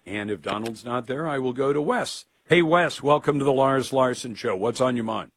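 A man hosts a call-in radio show, his voice hoarse from a cold. He talks in an opinionated, conversational way and cuts in on callers. The studio sound is close and dry. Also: medium pitch, dry recording. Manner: opinionated, conversational, quick to jump in. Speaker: radio talk show host. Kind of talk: talk radio, taking calls. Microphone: studio microphone.

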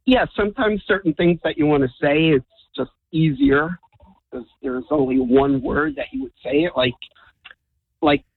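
A radio caller, a man born in the United States whose first language was Hungarian, chatting softly and hesitantly on the phone. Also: fairly high pitch, normal speed. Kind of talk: casual phone chat. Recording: tinny phone line.

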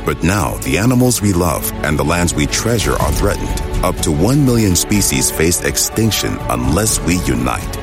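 A man narrating an advocacy ad in an earnest, rousing tone. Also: a bass voice.

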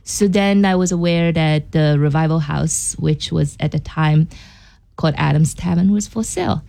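Low gravelly woman, relaxed explaining. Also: moderate pace. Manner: explanatory, frequent "you know" fillers. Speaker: guest, inn and theater owner. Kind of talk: casual radio interview.

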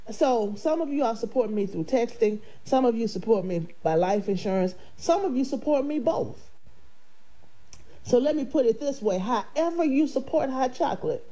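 A woman's voice: medium pitch, rough recording. Chatty woman radio host, nasal. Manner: warm, grateful, chatty, with laughs. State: nasal from draining sinuses. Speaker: radio host selling life insurance. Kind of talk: casual radio talk.

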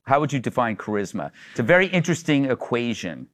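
A man's voice: mid-range voice, sing-song, quick pace, a bit bright. A man hosting a panel talk show, joking with guests and laughing often. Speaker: host.

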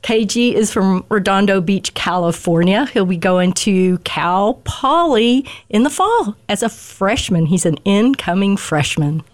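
A slightly hoarse woman guesting on a radio show, chatting as she introduces some young ball players. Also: medium pitch, lively intonation, a little slow, hardly any pauses. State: a little hoarse from yelling. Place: radio studio.

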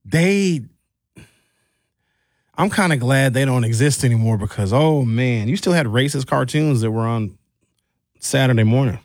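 A middle-aged American man hosting a radio talk show in an informal, opinionated storytelling style, colloquial and animated, on a close studio mic. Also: mid-range voice, measured pace, long pauses, no echo. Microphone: close studio microphone.

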